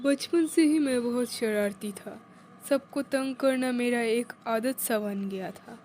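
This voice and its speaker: A woman's voice: on the high side, slow, darkish tone, a little hiss or hum. A woman giving scripted first-person narration of a cartoon story in the role of a young man.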